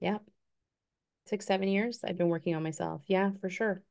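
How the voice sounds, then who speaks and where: A woman's voice: mid-range voice, steady tone, moderate pace, vocal fry, a little mellow. A woman in her fifties giving an earnest, encouraging motivational talk on a group call. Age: 50s. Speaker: guest speaker.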